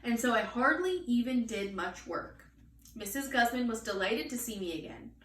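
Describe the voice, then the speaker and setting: Higher-voiced woman reading aloud, echoey room. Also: big pitch swings, moderate pace, a bit bright. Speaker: children's story reader. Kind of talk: expressive storybook read-aloud. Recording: echoey room, distant mic, faint noise.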